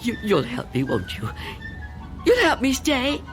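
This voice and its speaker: Frightened, pleading old woman, widely swinging pitch. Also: huge pitch swings, a little slow, music in the background, exaggerated voice. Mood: desperate pleading. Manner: stammering, breathy pleading, emotional. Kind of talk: radio drama acting.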